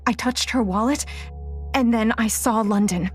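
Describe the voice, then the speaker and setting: An actress playing a young blind psychic student, sarcastic and easily exasperated, in a naturalistic audio drama. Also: female voice, fairly high pitch, moderate pace, slightly rough, soft background music. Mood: agitated, confused.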